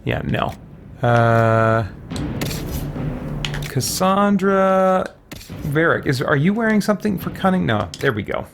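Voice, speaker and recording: A man's voice: on the high side, very animated, slow, creaky, long pauses, over music. A man doing casual live gameplay commentary, thinking aloud and muttering to himself as he plays. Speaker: game streamer.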